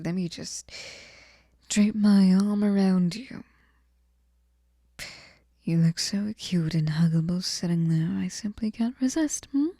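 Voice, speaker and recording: A woman's voice: medium pitch, mellow, no room sound. A woman playing a sweet, possessive, lonely fairy in an intimate ASMR roleplay, cooing breathily and often slipping into whispers close to the mic. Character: sweet, clingy, possessive female fairy hostess, lonely and obsessive. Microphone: close microphone, dry sound.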